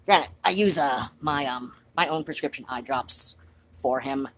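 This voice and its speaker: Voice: medium pitch, measured pace, fairly bright tone, slight background noise. A streamer chatting casually to viewers in a rambling, digressive way.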